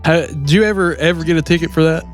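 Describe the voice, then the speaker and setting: A man's voice: on the high side, fast, faint music behind. A young man hosting a podcast, telling stories in a casual, comic way and acting out his quoted reactions. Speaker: podcast host and storyteller. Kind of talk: casual comic storytelling chat.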